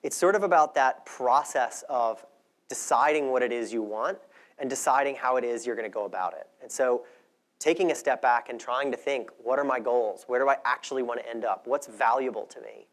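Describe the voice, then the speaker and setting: Male conference speaker, medium pitch, thoughtful and conversational, explaining ideas to an audience. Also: brisk.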